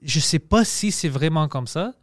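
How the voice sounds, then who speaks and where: Young comic host, non-native French, a touch high, dry booth sound, loose and profane. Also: male voice, moderate pace, no echo. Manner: relaxed, swearing, chatty, speaking French as a second language. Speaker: podcast host and stand-up comedian. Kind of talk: casual chat.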